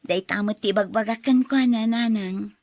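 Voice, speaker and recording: An actress with an Ilocano Filipino accent playing an affectionate, hopeful wife in a radio drama. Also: female voice, mid-range voice, a little slow, darkish tone, dry recording, studio quality, close to an everyday voice. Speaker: actor in an Ilocano audio drama. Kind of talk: acted radio drama dialogue.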